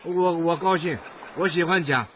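Bright-voiced hearty old grandfather. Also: on the high side, normal speed, dull audio, slight background noise. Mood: proud, insistent. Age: 70s. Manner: hearty, chuckling, exclaiming old-man talk. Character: doting, proud grandfather, an old boat builder in his seventies. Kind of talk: radio drama acting.

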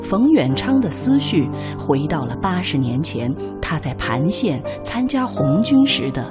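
Expressive lowish-voiced woman narrator. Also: measured pace. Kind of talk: scripted radio drama narration. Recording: clear music behind the voice.

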